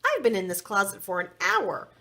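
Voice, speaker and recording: A woman's voice: medium pitch, wildly swooping pitch, moderate pace, vocal fry, bright, forceful tone, full character voice. A woman voicing a curious, observant, mild-mannered young princess in a children's storybook. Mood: friendly. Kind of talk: children's storybook read-aloud.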